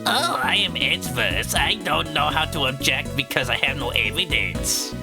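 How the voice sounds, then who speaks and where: A woman on a gaming stream doing goofy, deliberately bad character impressions. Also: low-pitched, expressive. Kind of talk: casual gaming stream banter. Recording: loud game music behind the voice.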